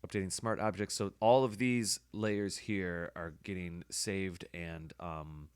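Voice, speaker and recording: A man with a hoarse voice, casually talking through his editing work as a podcast host, thinking aloud with fillers. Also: sits low, no echo. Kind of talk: casual screen-recording commentary.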